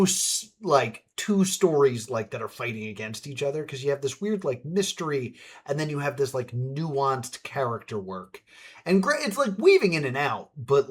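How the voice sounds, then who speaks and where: A man hosting a stream with casual commentary, halting as he thinks aloud. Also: mid-range voice. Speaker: streamer, host.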